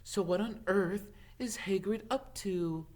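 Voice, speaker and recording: Man voicing a high, coaxing young schoolgirl. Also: slow. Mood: curious. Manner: flattering, inquisitive.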